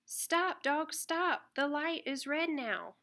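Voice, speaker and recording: A woman reading a children's picture book aloud in an expressive, deliberate way. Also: high voice. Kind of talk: read-aloud children's storybook narration.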